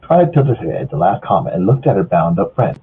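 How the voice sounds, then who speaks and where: A man's voice: deep voice, very animated, fast, slight background noise. A man giving an informal amateur story narration, stumbling over lines, recorded through a muffled phone-like channel. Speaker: fanfiction narrator.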